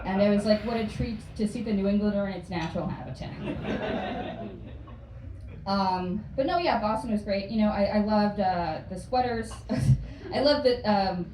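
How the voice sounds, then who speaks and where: A young woman from Florida doing a hesitant, deadpan stand-up set, full of ums and likes. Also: medium pitch. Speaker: stand-up comedian. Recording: distant, echoey club with noise.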